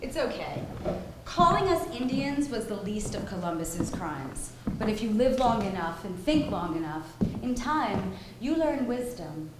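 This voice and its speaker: A woman's voice: medium pitch, sing-song, measured pace. An actress playing a self-assured, philosophizing woman in a live stage drama, speaking in a wry, declarative way. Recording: very echoey, noisy live theater recording.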